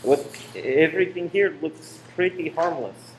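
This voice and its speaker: A man's voice: on the high side, lively intonation, measured pace. A man acting an uneasy, guarded saboteur in a radio play, with hesitant politeness. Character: uneasy, guarded cinema owner and secret saboteur. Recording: echoey room with faint noise.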